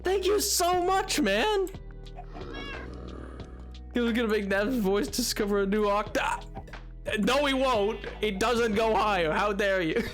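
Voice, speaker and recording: A man streaming a game and chatting casually with his viewers, excitable and rambling, with many restarts and sudden yelps. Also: high-pitched, unhurried, pauses often, faint music behind. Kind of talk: casual live-stream chat.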